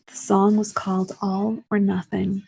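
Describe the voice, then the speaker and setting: Female story reader, dark husky tone, literary first-person narration. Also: medium pitch, monotone, measured pace, soft background music, poor audio.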